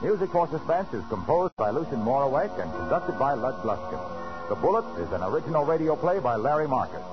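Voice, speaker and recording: A man's voice: medium pitch, big pitch swings, fairly quick. An American man announcing on 1940s radio with a polished, emphatic scripted delivery. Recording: muffled 1940s radio broadcast with music.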